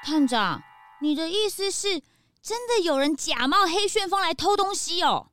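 Excitable, high-pitched little sidekick. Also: female voice, normal speed, soft background music. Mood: suspicious, curious. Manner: dramatic, exclamatory, cute. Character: excitable, outspoken young detective's sidekick. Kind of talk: children's radio drama acting.

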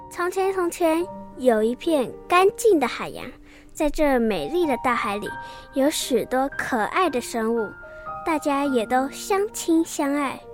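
A woman narrates a children's story in Taiwanese Mandarin. Her voice is high, and music plays clearly behind her.

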